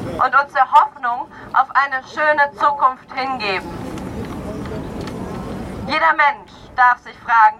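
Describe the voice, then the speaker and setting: A middle-aged woman speaking earnestly and deliberately as an activist, delivering a prepared speech at an outdoor rally. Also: high-pitched. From Cologne area, Germany. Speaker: climate activist giving a speech. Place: outdoors in an urban area. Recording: clear outdoor street and traffic noise.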